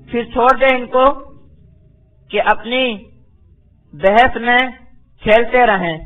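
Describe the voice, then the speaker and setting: A man's voice: very high-pitched, phone-quality sound. A man giving a religious lecture as a Quran teacher, reciting verses phrase by phrase, then translating and explaining them with didactic repetition. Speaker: Islamic scholar, Quran teacher.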